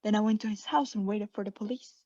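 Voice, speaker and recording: Young woman as unhinged radio-play caretaker, breathy whispery voice, halting and insistent, medium pitch, slightly dull sound. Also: fairly even intonation, lightly acted. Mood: smug, unhinged. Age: young adult. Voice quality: breathy, often dropping to a whisper. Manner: halting, insistent, self-justifying. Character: guilty, unhinged caretaker, anxiously feigning calm politeness. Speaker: student actor.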